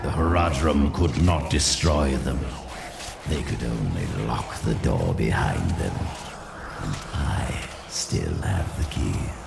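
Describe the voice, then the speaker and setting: A man voicing an ancient, scheming sorcerer who speaks slowly and condescendingly with a deep, gravelly voice. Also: full character voice. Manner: slow, measured, condescending. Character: ancient scheming male Horadric sorcerer, silky and proud. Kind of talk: video game cutscene dialogue. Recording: roomy game mix with music.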